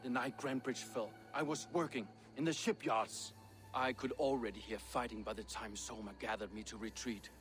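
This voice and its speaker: An actor playing an offended Viking shipyard worker who answers curtly and indignantly. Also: male voice, medium pitch, steady tone, moderate pace, fairly bright tone, faint music behind, natural voice. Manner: curt, indignant.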